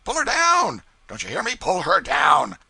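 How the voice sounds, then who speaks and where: A man's voice: sits high, huge pitch swings, normal speed, vocal fry, a crowd in the background, voice pushed up, exaggerated voice. A man voicing a young male cub pilot who mockingly imitates a bullying boss, barking orders.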